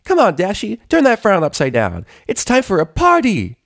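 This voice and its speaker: Man putting on a squealing, bubbly girl pony, very high. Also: moderate pace, vocal fry, full character voice. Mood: excited, cheering. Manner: squealing, excitable, exclaiming. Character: boisterous, bubbly party-loving young female cartoon pony.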